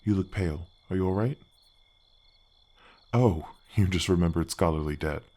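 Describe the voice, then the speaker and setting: Very deep, gentle gargoyle played by a man. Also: moderate pace. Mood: wry, concerned. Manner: earnest, courteous, slightly bashful, hesitant phrasing. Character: big gentle helpful gargoyle guardian, kindly oaf. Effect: deep-voice pitch effect. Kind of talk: ASMR fantasy roleplay, soft conversational acting.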